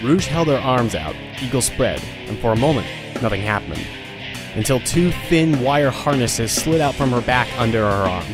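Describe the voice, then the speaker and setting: A man's voice: medium pitch, lively intonation, moderate pace. A man giving scripted narration for a fan audio drama. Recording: loud music score behind.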